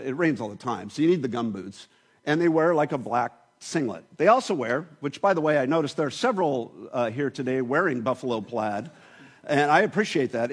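Husky-voiced man preaching. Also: mid-range voice. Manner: lively, jokey, engaging the congregation with questions. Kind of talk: sermon. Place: church.

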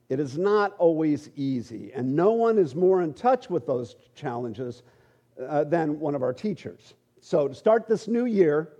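A middle-aged man teaching at a church, relaxed and joking, with halting asides. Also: a little high. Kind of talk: conversational sermon.

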